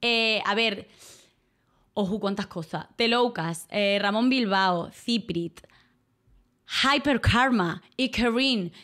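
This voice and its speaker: A woman from Málaga, a streamer and voice-over artist, chatting casually with her viewers, grateful and quick to laugh. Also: medium pitch, fairly bright tone. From Málaga, Spain. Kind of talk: casual livestream chat reading donations.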